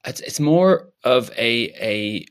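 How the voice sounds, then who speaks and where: A man's voice: medium pitch, lively intonation, slow, smooth. A man improvising a shy male politician who has no opinions, talking in hesitant, stammering restarts. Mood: evasive. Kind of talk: improvised comedy character.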